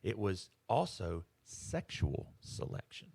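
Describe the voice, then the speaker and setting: A man's voice: lowish voice, very animated, slow, creaky, a little mellow, breathy edge. A man co-hosting a podcast, explaining ideas in casual conversation, emphatic and fond of rhetorical questions. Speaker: podcast co-host.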